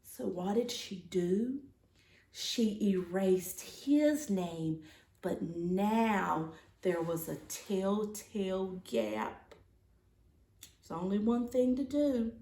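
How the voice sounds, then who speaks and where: A woman teacher reading a children's storybook aloud in a dramatic, expressive way. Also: mid-range voice, extremely slow. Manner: dramatic, expressive, with gasps and asides to listeners. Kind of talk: animated storybook read-aloud. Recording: roomy, echoey sound.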